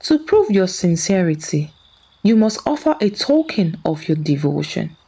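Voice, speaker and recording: A woman's voice: fairly low pitch, very animated, a little slow, mellow, husky, pitched below the performer's own voice, exaggerated voice. A woman voicing a kind, solemn and peaceful river spirit in a folktale. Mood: gentle solemnity.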